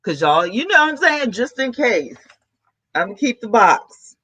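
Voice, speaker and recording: A woman YouTuber, chatting excitedly to her viewers from home while she sets up a new microphone. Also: a little high, normal speed, breathy edge. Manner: chatty, excitable, talks to chat. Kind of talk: casual vlog chat to viewers. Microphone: camera mic, then a new plug-in USB mic.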